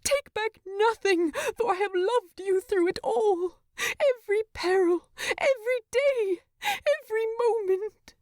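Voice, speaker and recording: A woman voicing a cheeky, devoted male hobbit in a fantasy story, speaking with earnest, expressive feeling. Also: very high voice, measured pace, dry recording, studio quality, voice pushed up. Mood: anguished, pleading.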